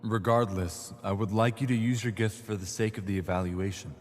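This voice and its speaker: A man playing a composed male evaluator in his twenties in an audio drama, speaking in formal, measured, polite sentences. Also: fairly low pitch, fairly even intonation, lightly acted. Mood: calm, probing. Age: 20s. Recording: long hall reverb, noisy hum.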